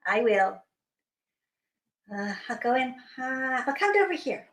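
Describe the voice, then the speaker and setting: A woman's voice: high voice, very animated, a little slow. A woman voicing a cheerful, playful male bear in a children's story, with simple exclamations. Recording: echoey room.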